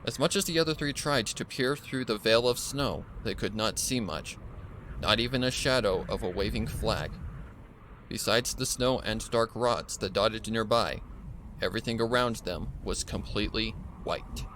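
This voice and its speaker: A man's voice: on the low side, normal speed, fairly bright tone, a little hiss or hum, rough recording. A man reading a scripted horror story as a dramatic narrator, with music behind him. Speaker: fan fiction narrator. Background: background music.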